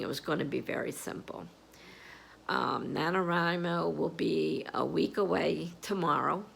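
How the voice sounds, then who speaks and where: A woman with a froggy, hoarse voice chatting casually about her writing plans, rambling with plenty of fillers. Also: deep voice, a bit bright, a little hiss or hum. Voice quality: gravelly. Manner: slow, rambling, with many fillers. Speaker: writer vlogging about novel prep. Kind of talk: casual chat to camera. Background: air conditioner humming.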